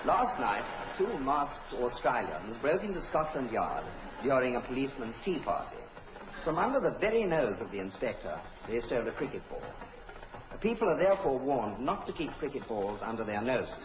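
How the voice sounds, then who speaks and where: A man plays a solemn vintage newsreader for a radio comedy. He is formal and deadpan. The recording is dull, with clear noise behind him. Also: mid-range voice, normal speed, lightly acted. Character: solemn radio newsreader giving absurd bulletins. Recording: muffled, noisy old radio recording.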